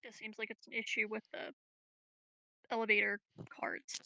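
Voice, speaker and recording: A woman's voice: medium pitch, monotone, normal speed, gravelly, bright, forceful tone, no echo, rough recording. A woman playing in a tabletop roleplaying game, talking casually and upbeat. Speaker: player.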